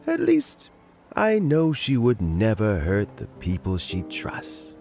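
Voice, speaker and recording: A man playing a wise, elderly statesman and patient mentor in a video game, speaking in measured, deliberate phrases. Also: fairly low pitch, wildly swooping pitch, creaky, heavily acted. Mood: reflective. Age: older. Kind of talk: scripted video game dialogue. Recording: music playing behind the voice.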